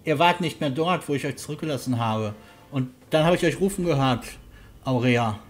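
A man playing a concerned, sceptical huntsman guide in a fantasy audio drama, with a measured, questioning manner. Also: mid-range voice, close to an everyday voice. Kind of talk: fan-made fantasy audio drama acting.

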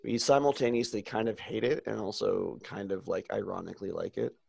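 Raspy-voiced man chatting on a podcast. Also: lowish voice, steady tone, brisk, a little mellow, dry recording. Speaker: podcast co-host. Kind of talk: casual chat on a video call. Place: small room at home.

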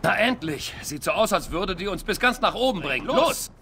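A man's voice: high voice, big pitch swings, moderate pace, noisy background. A man playing a young, determined soldier who leads a squad in a video game, with an urgent, commanding manner. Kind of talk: video game voice acting, German dub. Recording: loud game music behind.

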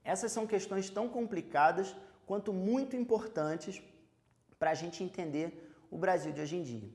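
A young Brazilian man with a fairly high voice teaches history on camera, speaking straight to his students. He moves around a chalkboard as he talks, explaining step by step with plenty of fillers, into a clip-on mic in a studio. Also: normal speed, a little mellow. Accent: Brazilian Portuguese. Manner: explanatory, addresses students directly, frequent fillers; walks and gestures while talking. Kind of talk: televised school lesson.

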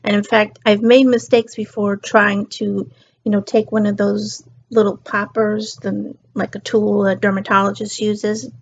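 Vlogger woman, middle-aged, worn out and a bit raspy, rambly chat, dull lo-fi webcam sound. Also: medium pitch, flat delivery, a little slow. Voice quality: slightly raspy. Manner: rambling and chatty, drifts between topics. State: tired. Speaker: beauty and lifestyle vlogger. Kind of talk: casual chat to camera. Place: living room couch, indoors. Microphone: built-in webcam mic.

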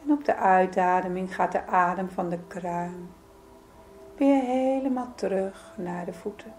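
A woman leading a guided yoga nidra meditation in a soothing, gentle, unhurried manner. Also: mid-range voice, a little hiss or hum. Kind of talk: guided meditation, calm spoken instruction.

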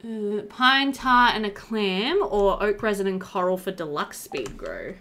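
A woman in her thirties giving casual, chatty let's-play commentary, animated and thinking aloud. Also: mid-range voice, unhurried. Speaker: gaming video host. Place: indoor room.

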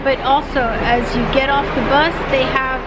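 A woman's voice: high voice, steady tone, normal speed. A woman in her forties walking through an airport and train station, chatting to her camera held at arm's length and pointing out directions. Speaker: travel vlogger. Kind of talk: casual walking travel vlog. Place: busy airport terminal and train station, indoors. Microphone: handheld camera at arm's length. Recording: loud background station noise. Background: loud station and train noise.

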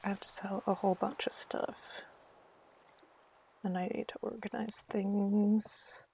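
A woman's voice: medium pitch, fairly even intonation, unhurried, vocal fry, mellow, breathy edge. A woman chatting casually on a live game stream, thinking aloud in a self-deprecating way.

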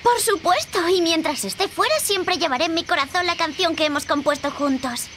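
A woman's voice: very high-pitched, a little fast, fairly bright tone, a little hiss or hum. A woman playing a sweet, sentimental youngster in a fairy-tale game. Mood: fond, earnest. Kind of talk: animated video game dub.

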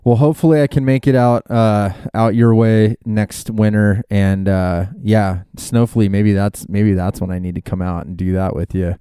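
A man's voice: on the low side, steady tone, moderate pace, few pauses, mellow, clean tone, no room sound, studio quality. A man hosting a podcast, talking in a laid-back, friendly way and laughing often. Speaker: podcast host. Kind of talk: casual interview chat.

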